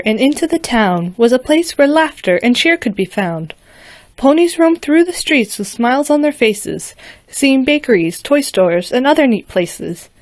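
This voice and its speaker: A woman's voice: high voice, no echo. A woman casually reading a rhyming fan fiction story aloud, now and then stumbling and restarting a word. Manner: casual, slightly halting with restarts. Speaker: fanfiction reader.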